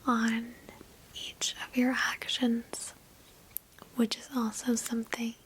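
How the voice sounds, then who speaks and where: A woman leads an ASMR meditation in a soft, breathy whisper. She speaks extremely slowly and keeps a fairly even tone, gentle and reassuring throughout. There is a faint noise in the recording. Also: a little high, poor audio. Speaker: ASMR host guiding a meditation. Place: indoors, sitting on a bed.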